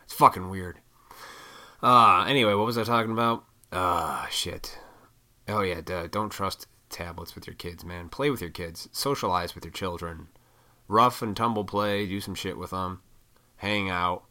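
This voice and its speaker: A man in his thirties ranting to camera in a rambling, profane way, his throat froggy and needing clearing. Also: deep voice, unhurried, bright, forceful tone. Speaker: vlogger. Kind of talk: rambling casual rant to camera.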